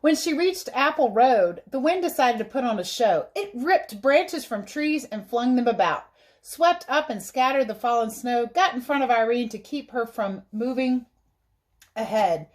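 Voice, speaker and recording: A woman reading a story aloud as a teacher, pausing to explain things simply to young listeners. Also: a little high, animated. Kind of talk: read-aloud storytelling with explanatory asides for children.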